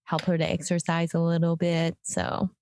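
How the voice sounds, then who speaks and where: A woman's voice: fairly low pitch, completely flat delivery, moderate pace, a little mellow, slight background noise. A woman from Hong Kong co-hosting a podcast, chatting casually with lots of fillers and laughter. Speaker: podcast co-host. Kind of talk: casual chat.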